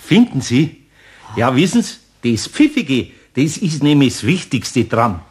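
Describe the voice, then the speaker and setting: An actor playing a good-natured older master carpenter with a Bavarian accent, fumbling for words and chuckling. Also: male voice, medium pitch, big pitch swings, unhurried. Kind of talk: 1960s German radio play acting.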